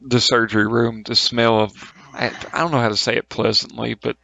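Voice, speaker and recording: A man acting as game master, narrating a tabletop game in a halting, colloquial, informal way. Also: medium pitch, little pitch movement, gravelly, sharp tone, no room sound, low-quality recording.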